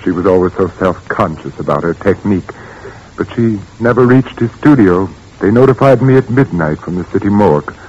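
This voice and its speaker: An actor playing a grieving, tormented widower in a 1940s radio drama, pleading and anguished. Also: male voice, deep voice, animated, fairly quick, a little mellow. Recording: dull old radio recording with faint noise.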